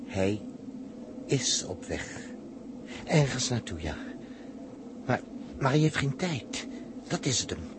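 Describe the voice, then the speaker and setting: A man acting an earnest, solemn space crewman who reasons deliberately, in a 1960s radio drama. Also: medium pitch, sing-song. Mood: grave, urgent. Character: earnest, reasoning male space crewman explaining grave odds. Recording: noisy 1960s radio recording.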